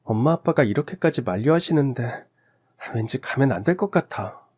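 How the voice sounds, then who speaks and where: A man voicing a young newlywed wife, anxious and earnest, in a gentle pleading manner. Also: medium pitch, expressive, moderate pace, breathy edge.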